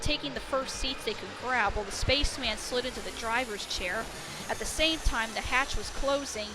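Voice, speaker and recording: Woman, high voice, bright tone, dramatic story narration, faint music behind. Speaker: fan fiction narrator.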